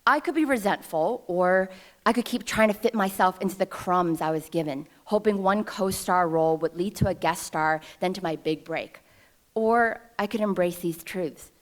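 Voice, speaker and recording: A woman in her thirties from New York City, giving a personal storytelling speech at a ceremony with wry, self-deprecating humor, into a podium microphone. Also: lowish voice. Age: 30s. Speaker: alumna speaker at a ceremony. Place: ceremony stage before an audience.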